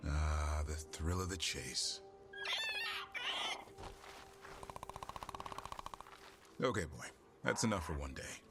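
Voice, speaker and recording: A man playing a gruff, stoic but caring warrior and creature keeper in a video game cutscene, terse and dryly humorous. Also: a bass voice, expressive, moderate pace. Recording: music behind.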